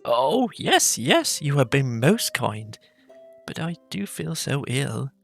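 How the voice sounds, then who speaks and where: An earnest little storybook country mouse, played by a man, timid yet cheerful and prone to exclaiming. The pitch is lifted high, with soft music underneath. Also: very animated, slow pace, creaky, full character voice. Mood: apologetic, unwell.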